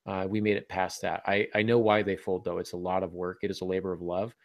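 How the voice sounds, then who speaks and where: Low, gravelly man in casual podcast talk. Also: little pitch movement, quick pace, dry recording, studio quality. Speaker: podcast co-host and writer.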